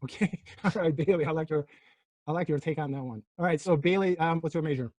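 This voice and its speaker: A man from Turkey lectures a physics class at a rapid clip, his voice sitting a bit high. He jokes, provokes and pelts his students with rhetorical questions. The sound is dry, with no room echo. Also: a little mellow.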